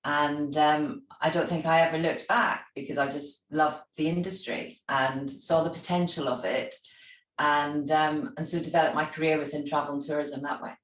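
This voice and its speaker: A middle-aged or older woman from Scotland with a soft Scottish accent, giving a polite, reflective personal account as an interview guest. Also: deep voice, fairly even intonation, normal speed. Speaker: interview guest, travel industry executive. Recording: roomy, distant microphone.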